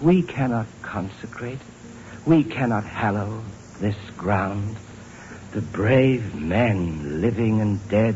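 An actor playing a humble, earnest, deferential manservant with courteous, formal diction in 1930s Hollywood film style. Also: male voice, medium pitch, warm tone. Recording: muffled noisy old film soundtrack.

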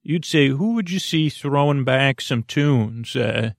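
A male podcast host with a mid-range, heavily creaky voice in a dry, echo-free recording. He improvises a wandering monologue, halting often and drifting from one half-finished thought into the next. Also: medium pitch, animated. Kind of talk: rambling, meandering improvised monologue.

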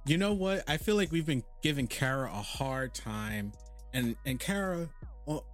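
A man's voice: medium pitch, lively intonation, normal speed, faint music behind. A man in his thirties hosting a casual group chat, exasperated and rambling, often stumbling over restarts. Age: 30s. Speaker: podcast host.

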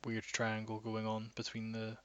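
A man's voice: lowish voice, completely flat delivery, normal speed, smooth, lo-fi sound. A man, a metal band guitarist, chatting casually as a podcast guest, rambling with frequent restarts and fillers. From Scotland.

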